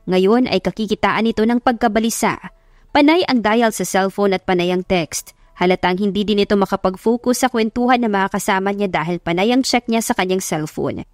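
A woman's voice: mid-range voice, no echo, clean studio sound. A woman narrator reading a scripted audiobook story. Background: background music.

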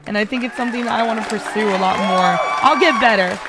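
A woman streamer with a hoarse voice, singing a ballad and then chatting with viewers in a bubbly, giggly way. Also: a little high, fast, a loud crowd, heavy background noise. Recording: faint piano backing music. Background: soft piano backing during the song.

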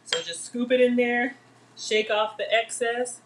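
A woman's voice: a little high, measured pace, noisy background. A middle-aged woman hosting a casual live cooking demo from her home kitchen, chatting with viewers as she works. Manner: chatty, talks to viewers and names commenters. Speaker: home cooking livestream host. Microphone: fixed camera at counter distance.

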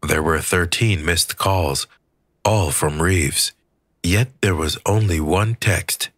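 A man narrating a scripted work of fiction as an audiobook reader, with a husky voice. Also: sits low, slow, a bit bright, no echo, clean studio sound.

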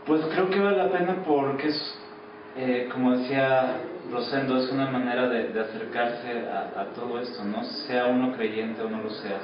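A man in his thirties with a Mexican accent, answering an interview casually and haltingly. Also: fairly low pitch. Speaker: radio drama actor being interviewed. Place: small office. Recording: echoey, distant, muffled, noisy camera audio.

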